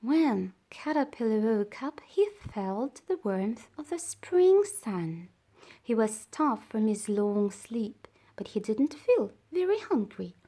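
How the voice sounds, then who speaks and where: Slow, very expressive woman storyteller. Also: fairly high pitch. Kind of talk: expressive children's story read-aloud.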